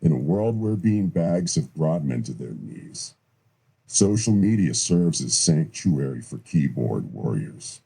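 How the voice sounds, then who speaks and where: A man performs as an ominous movie-trailer announcer with an extremely deep, husky voice. The delivery is slow, grave and portentous, as in a dramatic show intro. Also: big pitch swings, dark tone, heavily acted.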